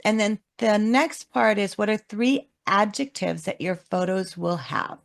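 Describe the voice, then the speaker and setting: A woman co-hosting a business webinar, explaining homework questions in a calm, measured teaching manner. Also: medium pitch, gravelly. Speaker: webinar co-host, leadership coach. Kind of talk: instructional webinar talk.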